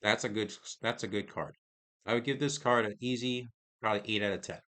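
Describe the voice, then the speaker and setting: A man casually reviewing trading cards, reading card text aloud in a halting, hesitant way, his voice getting hoarse. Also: lowish voice, steady tone, pushed and bright. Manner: halting, hesitant, many pauses and restarts.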